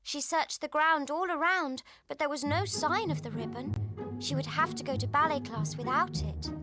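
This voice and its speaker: A woman with a high voice narrates a children's storybook read-along. Her delivery is expressive and scripted, with music playing clearly behind her. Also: normal speed.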